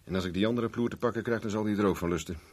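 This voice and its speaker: An actor in a 1970s Dutch radio play, playing a cocky private investigator with a dry, teasing, self-mocking manner. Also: male voice, lowish voice, racing, lightly acted. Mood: dry, probing. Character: wry, cocky male private investigator for hire.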